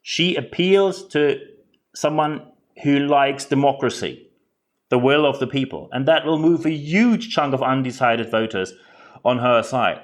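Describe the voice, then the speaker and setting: German-accented man hosting a podcast. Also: mid-range voice. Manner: earnest, direct, frequent word repeats. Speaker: podcast host. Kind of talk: podcast commentary.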